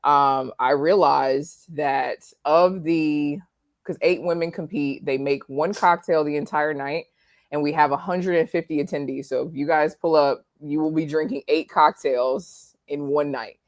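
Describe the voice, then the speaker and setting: Blunt, deadpan guest, speaking flat and dry over a close, dry mic. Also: female voice, deep voice, unhurried, dry recording. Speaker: podcast guest, bar owner. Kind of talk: casual chat.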